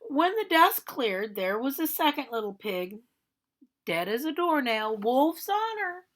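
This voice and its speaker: Storybook big bad wolf, played by a woman: chatty, aggrieved, theatrical, highly animated, unhurried delivery. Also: exaggerated voice. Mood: self-justifying, chatty. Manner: chatty, theatrical, protesting innocence. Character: aggrieved, self-justifying male big bad wolf telling his story. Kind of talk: animated storybook read-aloud.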